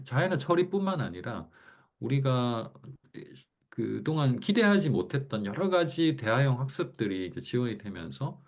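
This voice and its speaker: Guy lecturing w a gyeongsang accent, pitch bounces around a lot, chatty and full of fillers. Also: creaky. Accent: Gyeongsang Korean. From Gyeongsang region, Korea. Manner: thinks aloud, loose and conversational with frequent fillers. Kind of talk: casual online class lecture.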